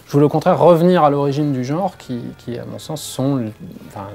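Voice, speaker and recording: A man giving a spontaneous interview answer as a guest, halting and repeating words as he searches for them. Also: fairly high pitch, vocal fry, warm tone, soft background music. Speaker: comics artist, interviewee.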